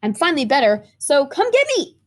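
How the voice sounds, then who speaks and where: A woman's voice: high-pitched, very animated, a little fast, voice pushed up. A woman voicing a wronged, broken storybook crayon that is sardonic but hopeful, telling its woes bluntly. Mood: aggrieved, pleading.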